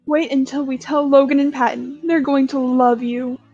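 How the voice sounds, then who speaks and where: A woman's voice: high-pitched, flat delivery, normal speed, a little mellow, faint music behind. A woman voicing a cheerful, pushy, theatrical young man with an exuberant, dramatic delivery in a fan fiction audio drama, slightly muffled. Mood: excited.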